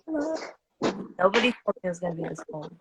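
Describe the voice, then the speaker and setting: A woman's voice: lowish voice, very animated, fast talker, noisy background. A woman hosting a casual livestream artist talk, chatty and upbeat, greeting viewers by name.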